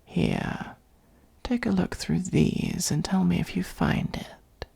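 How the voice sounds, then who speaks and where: A performer in an ASMR roleplay voicing an ancient, wry, self-serving dragon who gives advice in a breathy, husky half-whisper with measured, formal phrasing. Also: medium pitch, darkish tone, full character voice. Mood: softened, gracious.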